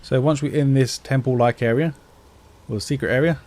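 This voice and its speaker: A man's voice: medium pitch, fairly even intonation, moderate pace, a little mellow. A man giving casual, informal gameplay commentary, explaining things step by step in plain words. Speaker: video game walkthrough narrator. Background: game music.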